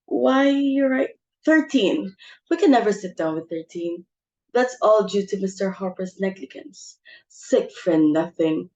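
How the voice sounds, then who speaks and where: A woman's voice: mid-range voice, dark tone, lo-fi sound, lightly acted. A woman playing a bossy, social-climbing society mother with theatrical exclamations in an amateur stage comedy reading. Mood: indignant.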